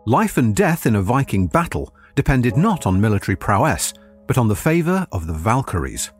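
A man's voice: mid-range voice, sing-song, moderate pace, faint music behind. A man reading a scripted history article aloud as a narrator.

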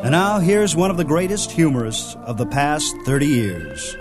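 A man hosting a radio program and introducing it in a smooth, lively presenter's style. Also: a little high, moderate pace, loud music behind the voice. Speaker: radio host.

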